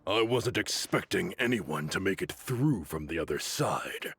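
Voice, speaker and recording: A proud desert warrior commander with a gravelly, rough edge to his voice. He speaks slowly and grandly, and his pitch rises and falls widely. He is defiant to the end, in a game voiced scene. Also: lively intonation, fairly bright tone, exaggerated voice. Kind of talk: game voice acting.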